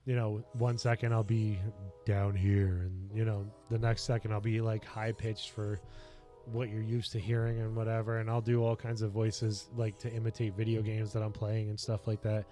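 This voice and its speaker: A man chatting casually on his home livestream in a basement office, rambling and earnest with lots of fillers, his voice getting a little raspy. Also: on the low side, little pitch movement, normal speed, warm tone, soft background music. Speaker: streamer and lifestyle coach.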